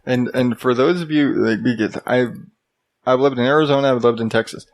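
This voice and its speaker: A man in his early thirties co-hosting a casual podcast chat with wry, deadpan jokes. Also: medium pitch, brisk. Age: 30s. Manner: dry, wry joking. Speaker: podcast co-host. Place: home in Arizona.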